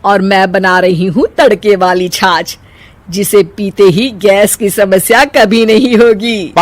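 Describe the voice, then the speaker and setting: A woman's voice: mid-range voice, fairly even intonation, slow pace, dry recording. A woman voicing an older, competitive mother-in-law in a storybook tale, pointed and fault-finding.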